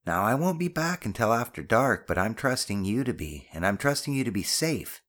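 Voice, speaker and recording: Man voicing a gently stern, caring mother, pitched up. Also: very animated, normal speed. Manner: chiding but affectionate. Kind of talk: audiobook character dialogue.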